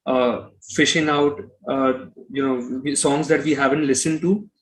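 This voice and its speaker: A man's voice: medium pitch, fairly even intonation, measured pace, low-quality recording. A man speaking as an interview guest, blunt and opinionated, often repeating himself. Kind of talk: conversational interview.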